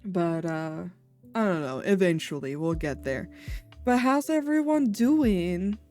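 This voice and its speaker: A woman's voice: on the low side, animated, slow, darkish tone, faint music behind. A woman streamer chatting casually with her viewers, a little congested, giggling often. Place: home.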